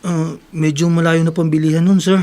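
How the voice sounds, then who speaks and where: An actor playing a humble, eager young errand worker and devoted son in a Tagalog radio drama. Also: male voice, a little high, flat delivery, fairly quick, a little mellow, close to an everyday voice. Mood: meek, eager. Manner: deferential, earnest.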